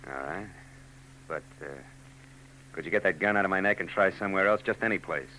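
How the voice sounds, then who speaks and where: An actor playing a hardboiled private eye in a 1940s radio drama, dry and sardonic with quick wisecracks. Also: male voice, deep voice, sharp tone, slight background noise, rough recording. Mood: tense. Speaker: narrator and lead.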